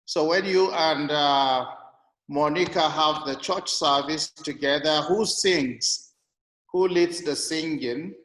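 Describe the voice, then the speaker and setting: A man speaks very slowly, in measured phrases with pauses, his pitch slightly high. He sounds like a participant commenting from a heavily reverberant room. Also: fairly bright tone. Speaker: webinar participant asking questions. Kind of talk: spontaneous comments and questions. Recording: echoey hall-like room over online call.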